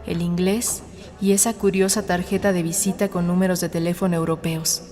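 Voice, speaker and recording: A woman reading a novel as an audiobook narrator, in a measured, suspenseful storytelling manner. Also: fairly low pitch, little pitch movement, warm tone. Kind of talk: scripted dramatic narration of a novel. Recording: studio narration over a music bed. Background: background music.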